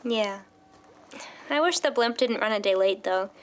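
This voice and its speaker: A woman's voice: mid-range voice, unhurried, natural voice. A young woman playing a restless small-town mother who longs for adventure, earnest and discontented, in an audio drama. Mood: discontented, wistful. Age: young adult.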